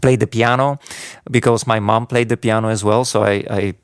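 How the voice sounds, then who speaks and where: A man's voice: lowish voice, steady tone, normal speed, clean tone, no room sound, studio quality. A man, a guest chatting casually in an interview, laughing often with many fillers. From Bulgaria. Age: 30s. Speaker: guest conductor.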